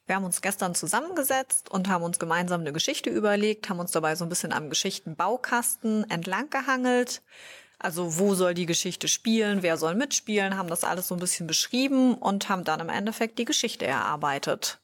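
A woman's voice: on the low side, animated, fairly quick, fairly bright tone. A woman, answering interview questions as a librarian and explaining a project. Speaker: librarian leading a children's project.

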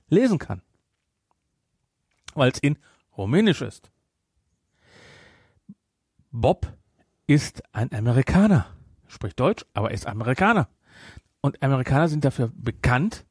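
A man's voice: a little high, darkish tone, dry recording, clean studio sound. A man speaking as a podcast host, discussing a film casually and emphatically, repeating his points to drive them home.

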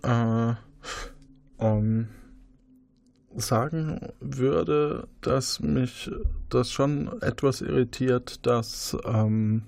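A man's voice: medium pitch, extremely slow, vocal fry, a little mellow, no room sound. A man discussing a case in an improvised role-play, speaking in a hesitant, tentative way.

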